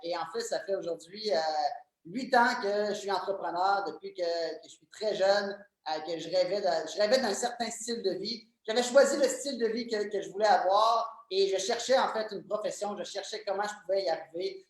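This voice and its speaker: A young man with a strong Québécois accent talks eagerly as a guest, mid-pitched for a man. He stumbles through repeats and fillers. His voice rings in a very echoey room. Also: fairly quick. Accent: Québécois French. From Quebec, Canada. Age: 20s. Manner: enthusiastic and joking, many fillers and repeated words. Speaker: guest, MLM coach. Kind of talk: casual interview chat.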